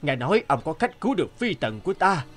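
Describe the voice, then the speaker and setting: Man acting an earnest, lively cartoon king. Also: fairly high pitch, fast, faint music behind. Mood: anxious, determined. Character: devoted, anxious middle-aged king.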